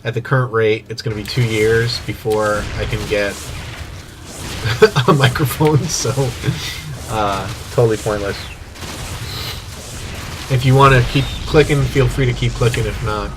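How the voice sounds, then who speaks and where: A man's voice: medium pitch, background noise. Sniffly man casting a game. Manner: excitable play-by-play with casual asides. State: stuffy, sniffly nose. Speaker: game commentator. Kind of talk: casting a strategy game replay. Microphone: old microphone he wants replaced.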